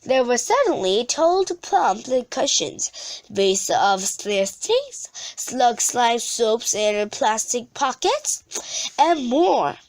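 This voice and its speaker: A woman reading a children's story aloud expressively, halting and stumbling over words now and then. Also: fairly high pitch, sing-song, low-quality recording. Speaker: storybook reader.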